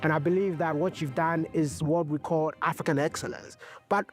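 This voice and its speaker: Young man interviewing, higher voice. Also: fairly even intonation, moderate pace, soft background music. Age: young adult.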